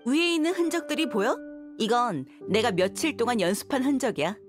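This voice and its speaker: Game mercenary girl, highish lively voice, sure of herself, music going behind. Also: brisk. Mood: proud, explaining. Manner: self-assured, frank, reasoning firmly. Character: confident, seasoned young female mercenary swordswoman. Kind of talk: video game voice acting.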